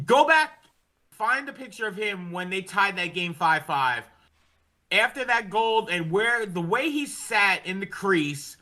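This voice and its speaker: A man with a high, very sharp and forceful voice talks like a fed-up sports fan on a podcast. He rants casually, with plenty of cursing and exasperation. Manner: ranting, heavy swearing, exasperated. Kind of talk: casual hockey fan chat.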